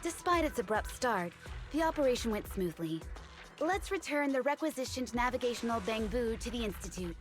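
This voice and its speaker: A woman's voice: on the high side, animated, brisk, over music, close to an everyday voice. An actress playing a composed, businesslike female investigator in an anime-style video game.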